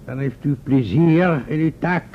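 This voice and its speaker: A man's voice: a little high, measured pace, close to an everyday voice. Man playing pompous mayor, muffled noisy recording. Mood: genial, formal. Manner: formal, pompous, wordy. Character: pompous, self-pitying, hesitant town mayor. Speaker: radio play actor. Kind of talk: old Dutch radio play acting.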